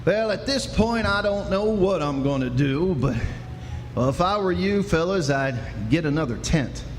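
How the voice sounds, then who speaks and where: An actor playing a good-natured, bumbling frontier sheriff, folksy, hesitant and wry, in a live western radio play. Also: male voice, on the high side, animated, moderate pace, close to an everyday voice. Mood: puzzled, wry. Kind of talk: live old-time western radio drama acting. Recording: echoey hall with faint noise.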